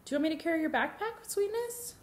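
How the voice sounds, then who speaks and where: A woman's voice: very high voice, expressive, quick pace, slight background noise, voice pushed up. A woman voicing a caring, inquisitive mother with affectionate, lilting questions in a storybook. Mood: tender.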